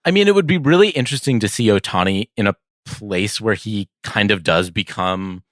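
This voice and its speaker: Male sports podcast co-host, low voice, casual and conciliatory, feels his way through opinions. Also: moderate pace, no room sound.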